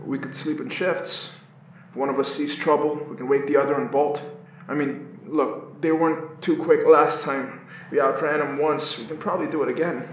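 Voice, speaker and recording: An actor playing a sardonic, anxious, foul-mouthed young male survivor who narrates in first person for an audio drama, often halting and stammering. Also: on the high side, fairly even intonation, reverberant, slight background noise, natural voice, low-quality recording. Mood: nervous, hesitant.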